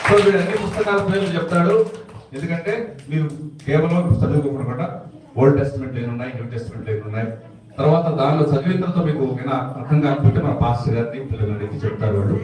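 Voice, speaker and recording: A man's voice: mid-range voice, very fast, a little mellow, some chatter behind. A man presenting to children as a ventriloquist, chatting informally and bantering with repeated questions. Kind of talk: informal stage talk to children. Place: church hall. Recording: echoey hall, distant microphone.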